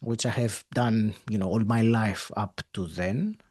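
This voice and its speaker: A man in his fifties from Greece with a Greek accent, speaking English as a second language, answering a podcast interviewer in a thoughtful way with many pauses and fillers. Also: fairly low pitch, a little mellow. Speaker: podcast guest. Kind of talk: interview conversation.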